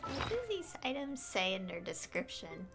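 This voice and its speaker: A woman's voice: medium pitch, sing-song, normal speed. A woman streamer giving casual commentary as she plays a game and reading bits of its text aloud. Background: faint game music.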